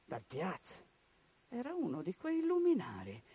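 A woman's voice: medium pitch, wildly swooping pitch, a little slow, warm tone, slightly rough. A woman actress performing a theatrical civic monologue, indignant and declamatory, firing rhetorical questions.

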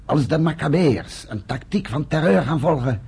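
An actor in a Dutch radio play voicing an earnest, sober underground commander in a measured, persuasive way. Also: male voice, on the high side, expressive, vocal fry, darkish tone, husky, heavily acted.

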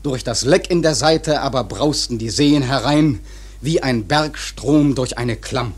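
A male actor narrating a sea story for a German radio play in a grave, measured, literary manner with a husky voice. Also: medium pitch. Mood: urgent, intense. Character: grave seafaring storyteller recounting a whaling disaster.

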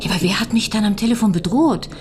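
An actress playing an inquisitive, drily witty widow turned amateur sleuth in a radio crime drama. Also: female voice, mid-range voice, fast, noisy background, natural voice. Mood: puzzled. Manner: questioning, wry.

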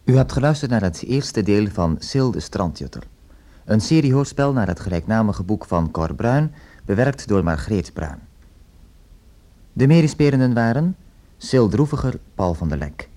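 A lowish, mellow man's voice with lively rises and falls. He reads scripted credits as a formal radio announcer, measured and item by item.

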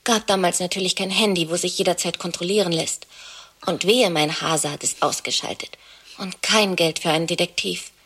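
An actress in a radio play, playing a chatty, sardonic young wife who is jealous. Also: female voice, lowish voice, moderate pace, a bit bright, a little hiss or hum. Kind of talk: radio play monologue and dialogue.

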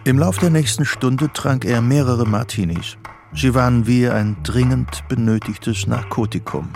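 A man's voice: mid-range voice, a little slow, creaky, a little mellow, faint music behind. A man reading scripted literary narration as the narrator of a German radio play.

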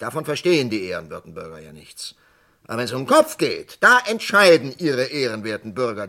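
An actor playing an insistent, impassioned male psychiatrist in a radio play, urgent and halting, with sarcastic rhetorical turns. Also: medium pitch, bright, forceful tone, full character voice. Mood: indignant, sarcastic.

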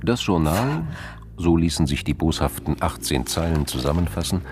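A man's voice: low voice, animated, moderate pace, music in the background. A man reading scripted narration for a crime radio drama.

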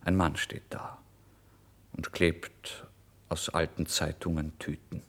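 Tiefe, ausdrucksvolle männliche Erzählstimme. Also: male voice, rumbling low, takes it slowly. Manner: brooding, expressive, shifting between hushed and emphatic. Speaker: audiobook narrator. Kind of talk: dramatic first-person literary narration.

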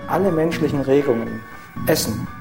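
A man giving a reflective interview in deliberate, halting phrases. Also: a little high, vocal fry. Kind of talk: spontaneous, reflective interview talk. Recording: long reverberant hall, music underneath.

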